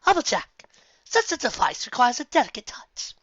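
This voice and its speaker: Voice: mid-range voice, wildly swooping pitch, moderate pace, a bit bright, no echo, muffled recording, voice pushed up. A performer voicing a refined, fussy female unicorn with an affected, theatrical, genteel delivery. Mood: prim.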